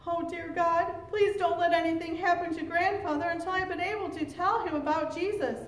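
A woman's voice: very high voice, steady tone, brisk, pitched above the performer's own voice. A woman voicing an anxious, devout young boy in a storybook, earnest and pleading. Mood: fearful, earnest. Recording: roomy, distant mic, faint noise.

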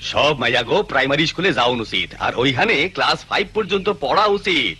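A man voicing an opinionated, approving older village man in a cartoon, emphatic and husky, with a rural Bangladeshi accent. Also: mid-range voice, very animated, quick pace, bright, forceful tone, exaggerated voice. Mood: earnest. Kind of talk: animated cartoon voice acting.

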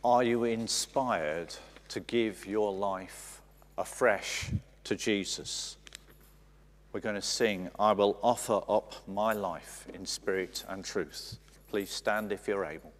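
A man leading a church service, reading the Lord's Prayer and giving out the next hymn in a slow, deliberate way. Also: fairly low pitch. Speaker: service leader. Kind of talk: leading liturgy. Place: large church, indoors. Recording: long church reverb.

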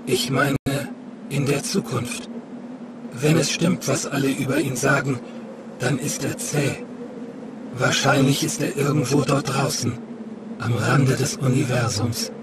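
An actor playing a kindly, seasoned old male companion, calm and reassuring, in an audio drama. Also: medium pitch, a little slow, long pauses, close to an everyday voice. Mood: calm, encouraging. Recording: loud ambient noise behind.

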